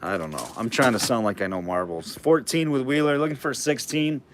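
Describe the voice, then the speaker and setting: A man running a live online auction, calling bids and chatting loosely about the items, his throat getting hoarse. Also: mid-range voice, fairly quick, a little hiss or hum. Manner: chatty, rambling asides between bid calls.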